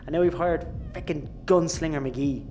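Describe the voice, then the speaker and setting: A man's voice: a little high, moderate pace, warm tone, a little hiss or hum, natural voice. Actor doing wry irish spaceship guy, flustered and self-deprecating, sci-fi comedy audio drama.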